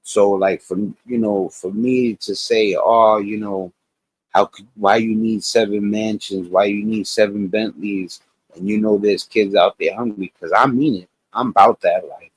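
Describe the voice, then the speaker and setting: A man's voice: on the low side, steady tone, measured pace, slightly rough, no echo, low-quality recording. A man in his late forties, hosting a channel, rambling through casual reaction commentary and preachy life advice. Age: 40s. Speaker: channel host.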